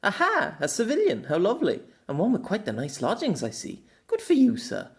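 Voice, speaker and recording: A man's voice: high-pitched, wildly swooping pitch, a little fast, vocal fry, pitched above the performer's own voice, exaggerated voice. A man voicing a cheerful, gallant young woman knight with courtly, archaic phrasing in an audiobook. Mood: cheerful, curious. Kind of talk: audiobook character voice.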